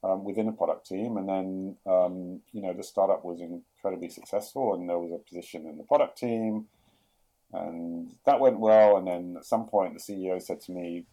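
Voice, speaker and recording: A middle-aged man with a London accent, talking through his career in a podcast interview, often pausing with fillers and restarting sentences. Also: low-pitched, fairly even intonation, warm tone, low-quality recording. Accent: London English. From England. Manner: hesitant, many fillers and restarts. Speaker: guest, product executive.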